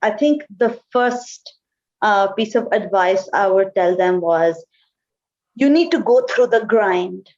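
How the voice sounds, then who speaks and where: A woman whose first language is Urdu, a marketing manager answering interview questions thoughtfully, often pausing with "uh". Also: medium pitch, a little mellow. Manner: thoughtful, with frequent "uh" pauses. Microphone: remote call. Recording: dull, lo-fi call audio.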